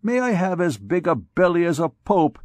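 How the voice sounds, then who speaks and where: A man's voice: high voice, very animated, moderate pace, darkish tone, no room sound, voice pushed up. A man voicing a swaggering young army captain who talks in a boastful, blustering way.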